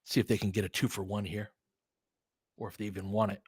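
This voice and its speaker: A man hosts live basketball commentary in a relaxed, chatty style. He follows the play in short, clipped phrases and openly sides with his team. The sound is dry, with very little room in it. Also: fairly low pitch, fast talker, slightly rough, no echo. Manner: conversational, reacting as a partisan fan in short clipped phrases. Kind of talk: casual live play-by-play commentary mixed with fan chat.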